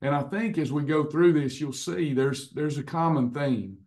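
A hoarse man in his fifties hosting a casual online recipe and wellness class over a webcam, chatty, with frequent restarts. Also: on the high side, moderate pace, darkish tone. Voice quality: slightly hoarse, clears throat. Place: indoors in front of a printed backdrop. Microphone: webcam on a video call.

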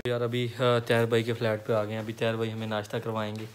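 A man, chatting casually to a phone camera held at arm's length as he vlogs on city streets and in a flat. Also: lowish voice, quick pace, background noise. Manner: chatty, walking and talking to a handheld camera. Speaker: vlogger. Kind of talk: casual vlog chat. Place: city streets outdoors, then a flat indoors.

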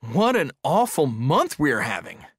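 A man's voice: medium pitch, huge pitch swings, measured pace, slightly rough, dry recording, studio quality, heavily acted. An actor playing an ordinary man making small talk in a language-course dialogue. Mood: sociable.

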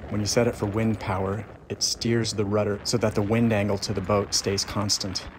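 A middle-aged man narrating his sailing trip in a calm, even, matter-of-fact voice-over. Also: on the low side, normal speed, noisy background.

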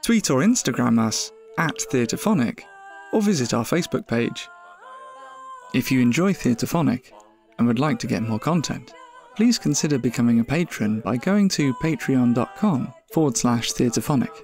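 Lively male podcast announcer. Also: medium pitch, moderate pace. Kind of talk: scripted closing credits. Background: music.

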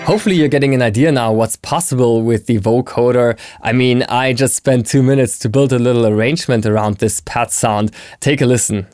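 A man in his thirties hosting a software tutorial, explaining conversationally in a small home studio on a close mic. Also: medium pitch, fairly even intonation, brisk, hardly any pauses, soft background music. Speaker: tutorial host.

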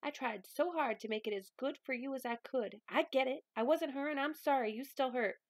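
A woman's voice: sits high, fairly quick, dry recording, studio quality. A woman reading a novel aloud as a stern, loving grandmother, emphatic, folksy and firm. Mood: stern, impassioned. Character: stern, loving grandmother giving tough love.

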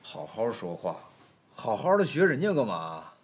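A man's voice: medium pitch, huge pitch swings, moderate pace, husky. Flustered, harried household lord, played by a man. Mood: exasperated. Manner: exasperated, scolding, flustered. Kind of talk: audio drama acting. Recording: background music under the voice.

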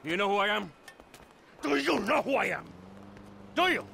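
An actor playing a menacing, condescending middle-aged crime boss in a video game, with deliberate, mocking politeness. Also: male voice, high-pitched, very animated, gravelly, pushed and bright, a little hiss or hum, heavily acted. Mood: threatening. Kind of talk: video game cutscene acting.

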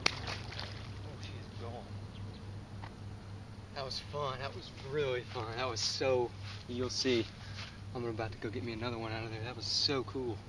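A young man, excitedly talking to the camera as a fishing vlogger while outdoors on a pond bank, often breathless and laughing. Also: mid-range voice, moderate pace, long pauses. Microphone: camera on a tripod some distance away. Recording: distant camera mic with outdoor noise.

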